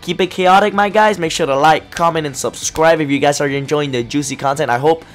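A young man with a hoarse, worn voice giving excited, slangy commentary on a mobile game for his viewers. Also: a little high, brisk, faint music behind. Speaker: gaming YouTuber. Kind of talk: casual gameplay commentary.

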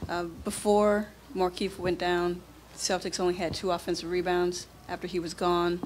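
A woman's voice: on the low side, little pitch movement, normal speed, darkish tone. A woman, a sports reporter asking a question at a press conference. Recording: noisy press room.

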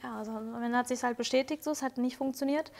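A woman's voice: a little high, fairly even intonation, fast talker, gravelly, darkish tone, in a large hall. A young woman in her twenties, a singer as guest, chatting candidly in an interview with slangy words and many fillers, sitting on a sofa in an indoor lounge set. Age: 20s. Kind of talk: casual interview chat.

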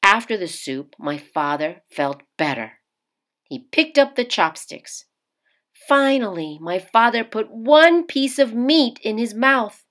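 A woman reads a children's picture book aloud as a storyteller, with deliberate, emphatic phrasing. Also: on the high side, very animated, a bit bright. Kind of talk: expressive children's read-aloud narration.

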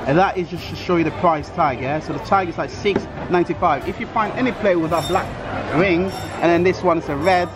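A man in his thirties vlogging on city streets and in a busy mall restaurant, chatty and excitable, filming himself at arm's length. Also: fairly high pitch, brisk. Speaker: food vlogger. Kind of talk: casual vlog narration. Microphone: handheld camera held at arm's length. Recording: loud background music and crowd noise. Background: loud music and crowd chatter.

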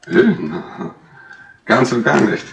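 An actor playing a slippery pickpocket, mock-pious and evasive, in the style of a 1960s radio crime drama. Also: male voice, medium pitch, expressive, takes it slowly, gravelly, heavily acted. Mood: feigned innocence. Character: slippery male pickpocket feigning pious innocence. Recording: noisy 1960s radio tape with clicks.

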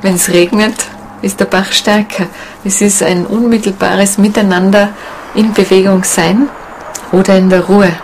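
A woman talking reflectively in a documentary interview, halting and pausing to find her words. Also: medium pitch, fairly even intonation, a little hiss or hum, low-quality recording. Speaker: shamanic seminar leader and interviewee. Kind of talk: documentary interview, unscripted talk.

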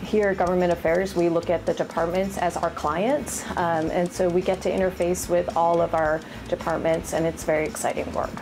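A woman in her forties from Rhode Island, a county government affairs manager answering quick interview questions in an earnest, plain-spoken way. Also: lowish voice, little pitch movement. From Rhode Island, USA. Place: office, indoors. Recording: background music underneath.

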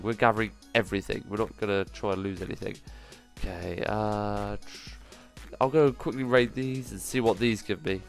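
A man with a cold, sounding nasal, giving excitable commentary as he plays through a survival game, full of quick exclamations. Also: on the low side. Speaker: gaming channel host. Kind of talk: gameplay commentary.

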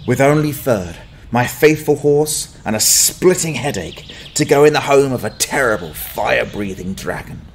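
A man's voice: mid-range voice, expressive, normal speed, slightly rough. A man playing a sardonic young fallen-noble adventurer, telling his story in wry, dramatic audio drama narration.

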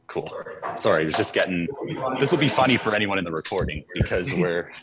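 A young man chatting casually before a webinar on a video call from a lecture hall, relaxed and chatty with many fillers. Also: sits low, quick pace, heavy background noise. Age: young adult. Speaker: conference speaker. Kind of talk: casual pre-webinar chat. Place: front of a university lecture hall.

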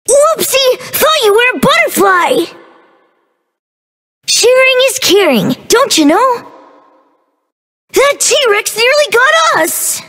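An extremely high, childlike baby dinosaur with wildly swooping intonation. The creature is innocent, bubbly and playful, an exaggerated video game character. It takes its words very slowly, with little gaps and stretches. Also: female voice, a bit bright, in a large hall, heavily acted.

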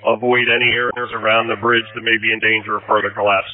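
A man's voice: fairly low pitch, level tone, fast talker. A male incident commander giving procedural instructions over an emergency two-way radio. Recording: narrow dry two-way radio transmission.

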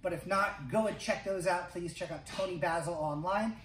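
A man's voice: high-pitched, moderate pace, fairly bright tone, distant mic. A man hosting a casual instructional video diary as a dance teacher, chatty and playful in his delivery. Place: wood-panelled room indoors. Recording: echoey room, away from mic, music.

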